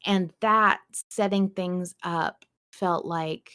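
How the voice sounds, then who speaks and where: A woman's voice: on the low side, steady tone, takes it slowly, pushed and bright, clean tone. A woman hosting a podcast, talking casually and earnestly as a fan about an audio drama. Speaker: podcast host.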